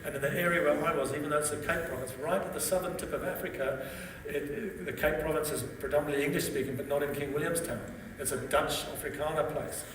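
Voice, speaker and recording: A man's voice: fairly high pitch, fairly even intonation, quick pace, a bit bright. Older man telling stories in an echoing hall. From Zambia. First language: Bemba. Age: 60s. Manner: conversational storyteller, frequent restarts and "okay?" check-ins. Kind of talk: testimony talk at a seminar. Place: large seminar hall. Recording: echoey hall from far off, with background noise.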